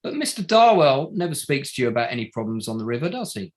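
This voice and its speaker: An actor playing a probing, sardonic barrister at a public inquiry in a play reading, formal and pointed, pressing with rhetorical questions. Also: male voice, medium pitch, sing-song, fast talker, lightly acted. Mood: methodical, insistent. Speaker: actor in an online table read. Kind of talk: courtroom-style cross-examination in a play reading.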